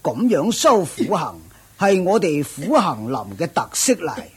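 An actor playing a proud older ascetic master in a radio drama, with a husky, raspy voice, a drawling self-satisfied manner. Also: male voice, on the high side, huge pitch swings, unhurried. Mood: proud, unhurried. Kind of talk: Cantonese radio drama acting.

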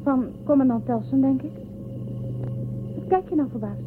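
A woman's voice: sits high, normal speed, close to an everyday voice. An actress in a radio drama playing a cautious, dutiful young woman on a space shuttle crew, earnest and questioning. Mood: urging. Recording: muffled lo-fi radio drama, music underneath.

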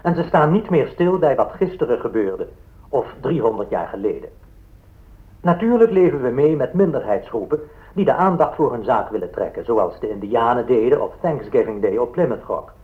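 A dull, lo-fi radio recording has a man playing a formal newsreader. He delivers in a measured bulletin style, with a medium pitch that moves widely up and down. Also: animated, lightly acted. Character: formal male newsreader reporting a presidential statement. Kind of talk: formal news reading in radio play.

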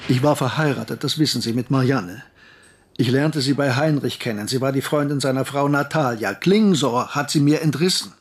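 Guy acting bitter anguished professor in a german radio play, bit husky, insistent, middling pitch. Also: normal speed, natural voice. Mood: defensive. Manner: insistent, rhetorical, defensive. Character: anguished, embittered male German professor recounting his past. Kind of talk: radio drama narration and dialogue.

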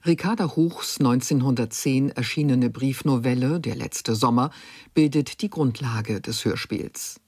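A man's voice: mid-range voice, unhurried, darkish tone, slightly rough, no room sound. A man presenting on radio, reading a scripted introduction at a studio microphone. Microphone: close studio microphone.

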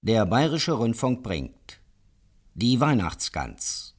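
A man making a formal radio broadcast announcement, slow, solemn and emphatic. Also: medium pitch, sing-song, slightly rough.

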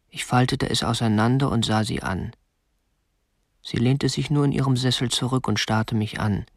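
An actor playing a prim, obsessive young kidnapper who narrates his own story in a measured, precise way for a radio drama. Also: medium pitch, dry recording, studio quality, close to an everyday voice. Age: young adult. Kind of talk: scripted first-person narration.

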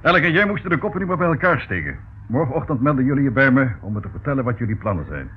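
Vlot pratende politiechef. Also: male voice, mid-range voice, very animated, very fast, husky. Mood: brisk, authoritative. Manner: authoritative, courteous. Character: brisk, commanding male senior police chief. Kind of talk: Dutch radio play acting. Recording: tinny, band-limited old radio recording with noise.

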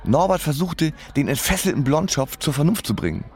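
A man's voice: on the high side, big pitch swings, a little fast. An actor playing a wry band musician who narrates his shabby past in ornate, mock-literary wording in a radio play. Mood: wry, reflective.

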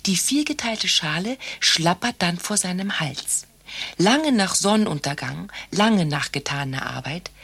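An actress playing a naive, dreamy young country woman with a breathy voice in a satirical radio play. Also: lowish voice, a bit bright, exaggerated voice.

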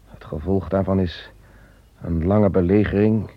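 A grave, commanding emperor who worries more about his soldiers' love than his own victory. His voice sits very low and husky, in a dry, close room. It has the dull sound of an old radio play. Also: steady tone, measured pace, dry recording. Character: superstitious brooding male emperor, commanding. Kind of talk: radio drama acting.

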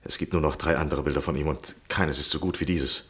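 A man playing an obsessed, frustrated, self-justifying gentleman journalist in a 1960s radio play. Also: very deep voice, fairly even intonation, fast. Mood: proud, explaining.

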